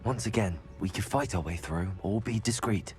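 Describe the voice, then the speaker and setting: An actor playing a calm, resolute young male wizard hero in a video game, with a measured, dry delivery. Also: on the low side, music in the background, lightly acted. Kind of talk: scripted video game dialogue.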